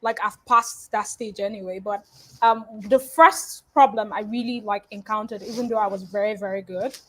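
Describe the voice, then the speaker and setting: A woman from Nigeria, a guest in a casual interview, talking with lively swings in loudness and many fillers over a video call. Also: mid-range voice, normal speed, poor audio. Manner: animated, with big swings in loudness and frequent fillers.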